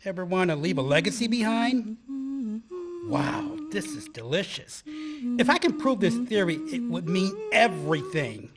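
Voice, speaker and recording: A man's voice: sits high, very animated, a little slow. A man playing an obsessive young male graduate student who laughs at his own jokes, in an amateur radio play. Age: young adult.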